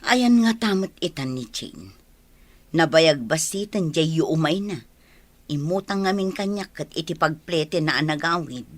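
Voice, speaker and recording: Kind, concerned village wife. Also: female voice, deep voice, expressive, unhurried, no room sound. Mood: sympathetic. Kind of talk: Filipino radio drama acting.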